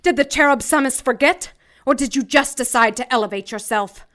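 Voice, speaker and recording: A steadfast angel in an audio drama. Her voice is smooth and fairly high, with formal, dignified phrasing. She stands firm and defiant. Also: fairly quick, a bit bright. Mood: indignant. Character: principled, defiant female angel, calm and steadfast.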